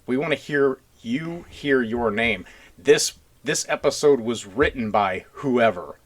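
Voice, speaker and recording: Male podcast host, mid-pitched voice, earnest and rambling, plainspoken blue-collar talker in casual discussion. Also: expressive, unhurried.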